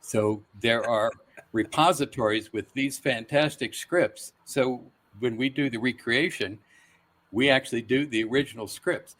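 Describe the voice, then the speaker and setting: A middle-aged man with an average-pitched voice talks casually as an interview guest. He explains and recounts things in a conversational storytelling way. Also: measured pace, fairly bright tone. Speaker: guest voice actor.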